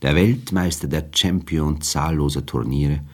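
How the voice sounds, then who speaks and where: Extremely deep, husky male narrator. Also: a little slow. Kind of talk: scripted literary narration.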